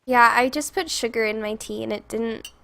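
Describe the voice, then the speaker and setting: A woman's voice: a little high, moderate pace, creaky, fairly bright tone. A young woman streaming a game, chatting casually and rambling to chat while congested and stuffy from a cold.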